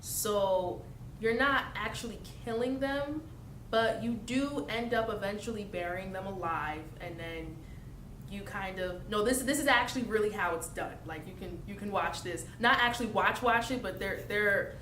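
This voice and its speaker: A woman's voice: moderate pace, fairly bright tone. A young woman in a casual interview, explaining and telling stories with frequent fillers. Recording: echoey room, mic at a distance, faint rumble.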